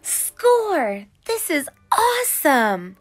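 A woman's voice: high voice, huge pitch swings, drawn-out words, voice pushed up, heavily acted. A woman voicing an eager, earnest schoolboy from a picture book, with bouncy, exclamatory delivery. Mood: thrilled. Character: eager, earnest schoolboy superhero fan, excitable.

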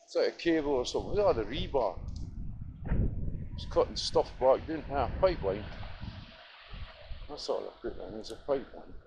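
A man's voice: mid-range voice, animated, normal speed, pauses often, highs cut off. A Scottish man muttering a rambling, sweary, casual commentary as he walks along outdoors, filming on a handheld device. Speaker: vlogger. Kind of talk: casual walking commentary, thinking aloud. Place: outdoors on a city street. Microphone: handheld camera or phone held by speaker. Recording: noisy outdoor street with traffic and roadworks.

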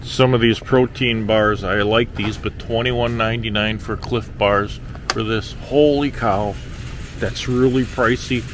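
A man's voice: lowish voice, fairly even intonation, a little slow. A middle-aged man giving casual, exclamatory, incredulous commentary as he vlogs, often repeating himself, on a handheld camera in a large store. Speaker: vlogger. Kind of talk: casual running commentary on grocery prices. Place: large supermarket, indoors. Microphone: handheld camera at arm's length. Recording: noisy store ambience. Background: store background noise.

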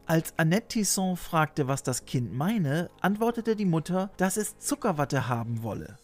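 A man's voice: on the high side, sing-song, a little slow. Middle aged guy hosting a scripted video essay, bit of music behind him. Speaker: host and narrator. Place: small room lined with shelves, indoors. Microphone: desk microphone.

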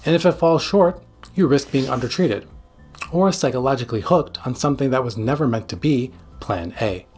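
A man in his forties, a psychiatrist hosting a scripted explainer to camera with emphatic, dramatic phrasing and a slightly husky voice. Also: medium pitch, normal speed, a little mellow. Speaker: psychiatrist and video host. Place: small home studio room. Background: background music.

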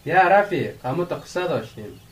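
A man's voice: a little high, sing-song, recorded from a distance, slight background noise. An actor playing a curious, impatient young village man who prods his friend conversationally in a radio drama. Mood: friendly. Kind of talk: radio drama acting in dialogue.

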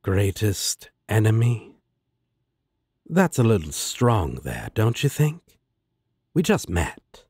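A man's voice: fairly low pitch, huge pitch swings, slow pace, pauses often, darkish tone, husky, dry recording, clean studio sound, full character voice. A man playing a sly, laid-back demon roommate in an audio drama, teasing and sardonic with a coaxing drawl. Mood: placating. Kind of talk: roleplay audio drama acting.

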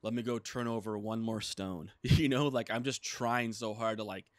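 Chatty man, deep-ish voice, dry studio. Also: normal speed, no echo. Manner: rambling, many "like" fillers, animated retelling. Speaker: podcast co-host. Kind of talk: casual chat. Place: small studio room with dark curtain backdrop. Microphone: desk mic on boom arm.

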